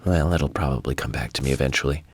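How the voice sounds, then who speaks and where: A courteous, eccentric American investigator from a video game. He speaks in measured, formal phrases with a brooding edge, recorded very dry and close. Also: rumbling low, husky, dry recording. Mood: brooding, musing. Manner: measured, formal, musing aloud. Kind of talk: scripted video game voice acting.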